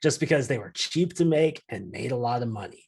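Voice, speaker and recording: Casting: husky male podcast guest, average pitch, dry and blunt, casual opinionated banter. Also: animated, fairly quick, darkish tone.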